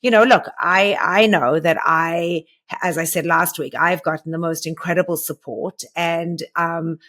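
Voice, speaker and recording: A woman co-hosting a podcast in casual conversation, talking in a hesitant way with many pauses to find her words. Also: fairly low pitch, fairly even intonation, a bit bright. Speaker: podcast co-host. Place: lives in the United States.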